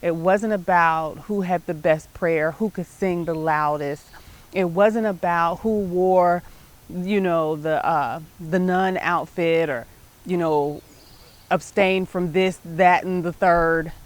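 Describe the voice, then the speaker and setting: A woman's voice: lowish voice, fairly even intonation, slow. A middle-aged American woman hosting her show, telling personal stories about life and faith in a conversational, emphatic way. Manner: conversational, emphatic, often checking in with "right?". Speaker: show host.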